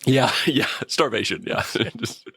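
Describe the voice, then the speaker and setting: A man's voice: medium pitch, animated, slow, fairly bright tone, slightly rough, dry recording, studio quality. A man co-hosting a podcast, chatting casually about TV episodes in a wry, easygoing manner. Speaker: podcast co-host. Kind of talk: casual conversation and episode review.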